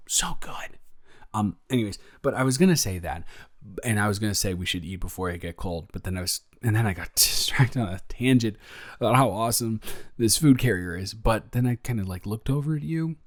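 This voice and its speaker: A young man playing a caring, flustered roommate in an intimate roleplay audio, rambling and stammering as he gently reassures a friend. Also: lowish voice, no echo, clean studio sound. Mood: hesitant, concerned. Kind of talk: improvised intimate roleplay audio, one-sided conversation.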